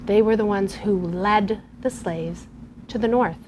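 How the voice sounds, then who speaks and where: A middle-aged woman teacher, giving a lesson and reading a story aloud to young children in a patient, clear way. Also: mid-range voice, measured pace, a little mellow, a little hiss or hum. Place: television studio or classroom.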